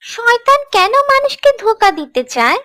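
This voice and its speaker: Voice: very high-pitched, sing-song, moderate pace, sharp tone, dry recording, full character voice. A performer voicing an eager, polite young child pupil in a scripted children's cartoon. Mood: curious, polite.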